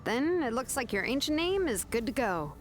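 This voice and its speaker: A woman's voice: on the high side, big pitch swings, moderate pace, a little hiss or hum. A woman voicing a practical, attentive young companion in a video game. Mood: confident. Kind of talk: English video game voice acting. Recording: music behind the voice.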